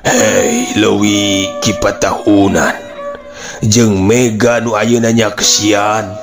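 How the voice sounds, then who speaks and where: A man's voice: fairly low pitch, little pitch movement, slow. A man voicing a commanding, solemn king and war leader in a declamatory folk-tale style. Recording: music under the voice.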